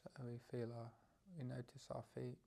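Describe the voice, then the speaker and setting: Mellow, gravelly man guiding a meditation. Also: medium pitch, monotone. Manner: hesitant, unscripted phrasing with gentle pauses. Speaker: meditation teacher. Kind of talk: guided meditation.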